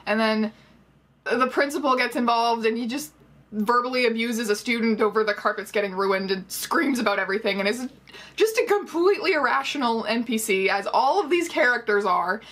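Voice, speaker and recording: A woman in her twenties giving sarcastic, animated commentary as a casual vlogger talking to camera. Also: fairly high pitch. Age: 20s. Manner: sarcastic, animated storytelling with mocking quotes. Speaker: vlogger reviewing a book. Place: small bedroom, indoors.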